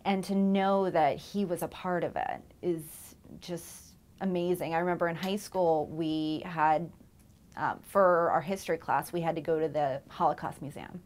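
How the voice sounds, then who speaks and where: A woman's voice: deep voice, fairly even intonation, moderate pace, a little mellow. A woman in her twenties answering interview questions in an office, earnest with some fillers. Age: 20s. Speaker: company employee.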